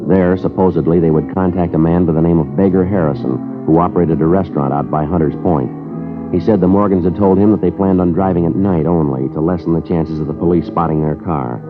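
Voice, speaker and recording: An actor playing a terse, hard-boiled male police sergeant who narrates a 1950s radio crime drama in a clipped, flat, matter-of-fact way. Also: low voice, a little fast, lightly acted. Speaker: detective narrator. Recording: tinny narrow-band old radio transcription with music.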